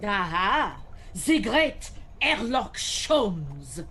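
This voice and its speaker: A woman playing a flamboyant French gentleman thief with a put-on, exaggerated French accent in a comic radio drama, pompous and melodramatic. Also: mid-range voice, sing-song, very slow, slight background noise, full character voice. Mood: flirtatious, theatrical. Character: flamboyant male French gentleman burglar, theatrical and grandiose.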